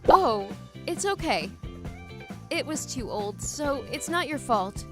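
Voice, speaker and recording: A sweet young female student from a manga cartoon, played by a woman, frank and polite. Her voice is high-pitched and matter-of-fact. Music runs clearly underneath. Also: sing-song, slow, exaggerated voice. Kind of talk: manga dub dialogue. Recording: music behind the voice. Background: background music.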